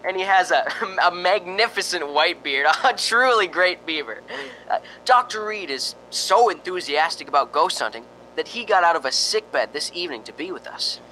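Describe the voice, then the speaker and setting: An actor playing a chatty, slightly nervous ghost-hunting radio host in a radio drama, hesitant and conversational. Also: male voice, sits high, lively intonation, moderate pace, bright, forceful tone, a little hiss or hum, rough recording. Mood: genial, informal. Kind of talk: radio drama acting, on-air commentary.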